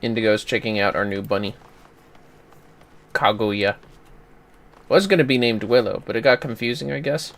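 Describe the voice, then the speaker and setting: A man chatting casually while gaming on a livestream, playful, exclamatory and quick to swear. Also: lowish voice, steady tone, fairly bright tone, a little hiss or hum. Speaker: gamer on a livestream. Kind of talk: casual gameplay chat.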